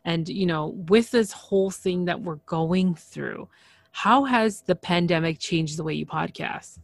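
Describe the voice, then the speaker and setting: A woman's voice: lowish voice, measured pace, creaky, dry recording. A woman hosting a podcast who greets her guest in an upbeat, welcoming way. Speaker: podcast host. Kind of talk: casual podcast interview.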